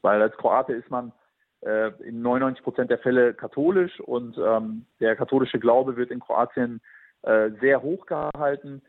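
A man's voice: mid-range voice, no echo. Earnest man on a tinny line. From Germany. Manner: earnest and reflective, with frequent hesitations. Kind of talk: personal testimony talk. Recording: tinny phone-like sound.

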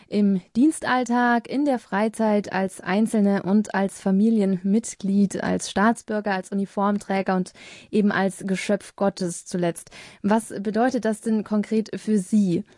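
Composed woman interviewer, mellow tone. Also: medium pitch, no room sound, clean studio sound. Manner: composed, formal, reads well-formed questions. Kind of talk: formal interview questions.

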